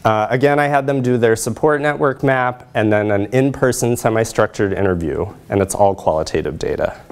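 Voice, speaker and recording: Male academic presenter, thirties, on the low side in pitch, conversational lecture delivery to a room. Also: a little fast, clean tone. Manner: conversational, checks in with audience using short tag questions. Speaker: social work researcher and presenter. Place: lecture room, standing by projection screen.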